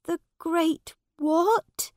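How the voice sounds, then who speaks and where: A woman's voice: very high-pitched, drawn-out words, no echo, studio quality, voice pushed up, exaggerated voice. A woman voicing a frightened poor boy who is mistaken for a prince, pleading and crying out in a storybook reading. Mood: flustered.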